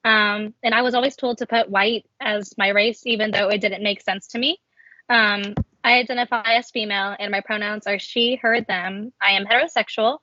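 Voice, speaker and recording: Girl in her early twenties from texas hosting a podcast, bright voice, dry muffled sound. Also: mid-range voice, steady tone, normal speed, no room sound. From Texas, United States. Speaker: student podcast host. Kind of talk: casual podcast introduction. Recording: muffled lo-fi close dry audio.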